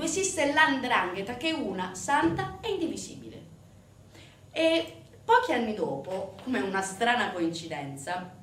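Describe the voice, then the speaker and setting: A woman performing a theatrical monologue, telling a story conversationally to an audience with rhetorical questions and dramatic pauses. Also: medium pitch, fairly bright tone. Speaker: stage actress performing a monologue. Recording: roomy, distant stage recording with faint noise.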